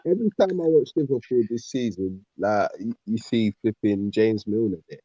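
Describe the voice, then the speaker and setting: A man's voice: lowish voice, lively intonation, normal speed, dark tone, breathy edge, dry recording, muffled recording. A man in casual football banter, slangy, emphatic and exasperated. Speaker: podcast panellist.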